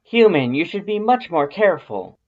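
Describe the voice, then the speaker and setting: Loud excitable skeleton guy, done by a story narrator, pitched up high, super earnest and urgent, kinda dull recording. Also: normal speed. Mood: urgent, scolding. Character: tall, earnest, overexcitable male skeleton, urgent and fussy.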